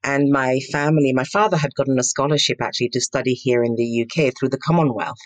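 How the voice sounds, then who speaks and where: A middle-aged woman from Nigeria, telling her story as an interview guest in an animated, emphatic, self-assured way. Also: very deep voice, fast, dry recording. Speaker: guest, entrepreneur. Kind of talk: interview answers.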